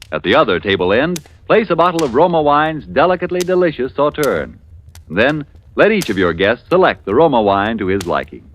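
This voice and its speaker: Lively, emphatic male radio sponsor announcer. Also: medium pitch. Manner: formal, polished, emphatic. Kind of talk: 1940s radio advertising read. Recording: noisy old 1940s radio recording.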